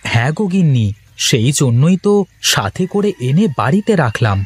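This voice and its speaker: A man's voice: medium pitch, very animated, normal speed, slightly rough. A man voicing an earnest, kind-hearted poor village husband in a cartoon, speaking expressively and cajolingly. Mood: cheerful. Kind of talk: Bengali moral-story cartoon dubbing.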